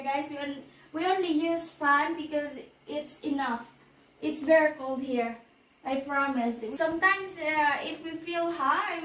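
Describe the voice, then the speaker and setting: Female vlogger, Filipino accent, slightly hoarse, unhurried and halting, echoey sound away from the mic with background noise. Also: high voice, a bit bright. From Philippines. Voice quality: hoarse, with throat clearing. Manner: halting, chatty, repeats phrases. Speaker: vlogger giving a house tour. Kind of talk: casual vlog narration. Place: walking around a house, patio and indoors. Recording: roomy echo, distant mic, background noise.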